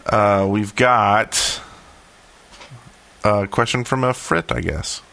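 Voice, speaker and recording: Bright, low-pitched man hosting a podcast. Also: slow pace, vocal fry. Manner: jokey, rambling. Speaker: podcast host. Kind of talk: casual podcast chat.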